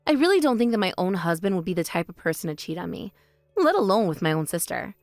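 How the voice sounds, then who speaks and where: A woman voicing a calm, composed older sister who speaks in a measured, quietly cutting way in a voice drama. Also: on the low side, dry recording, studio quality, soft background music. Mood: cool. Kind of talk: voice drama, one performer.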